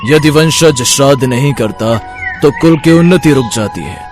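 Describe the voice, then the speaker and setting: A man acting an aged grandsire in a devotional cartoon tale. He instructs solemnly and didactically, over clearly audible music. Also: medium pitch, animated, moderate pace, natural voice. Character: Bhishma, venerable grandsire giving solemn moral counsel.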